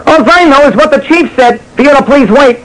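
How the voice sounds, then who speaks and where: A man's voice: extremely high pitch, a little fast, fairly bright tone, pitched above the performer's own voice. A man playing a simple, matter-of-fact firehouse maintenance worker in an old-time radio drama. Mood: earnest. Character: plain-spoken male firehouse maintenance man relaying messages. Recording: noisy old radio recording.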